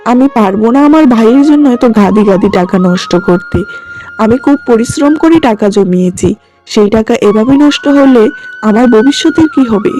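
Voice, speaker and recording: A woman voicing a selfish, sarcastic younger brother in a cartoon moral story, quick to blame others. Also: on the high side, lively intonation, mellow, faint music behind, pitched below the performer's own voice, natural voice. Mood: defiant. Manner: sarcastic, blaming. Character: selfish, defiant younger brother, greedy salaried man.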